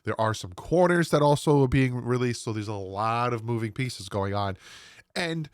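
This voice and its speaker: A man's voice: mid-range voice, expressive, clean tone, dry recording, studio quality. A man in his thirties hosting a sports channel from home, sounding congested and nasal from a cold as he chats casually and rambles to viewers. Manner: rambling, chatty, quick asides to viewers. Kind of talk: casual solo sports commentary. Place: home room. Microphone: desk microphone.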